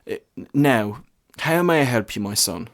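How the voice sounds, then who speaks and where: A man voicing a world-weary, stern parish priest in a story, with a measured, solemn, admonishing manner. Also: mid-range voice, animated. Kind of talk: short story character reading.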